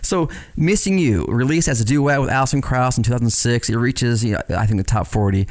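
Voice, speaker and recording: A man's voice: medium pitch, fairly quick, a little mellow. Male interviewer, enthusiastic casual chat.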